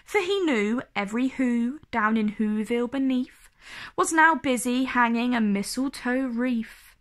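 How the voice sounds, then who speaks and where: A woman reading a children's story aloud in an expressive, theatrical way, with dramatic pauses and emphasis. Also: a little high.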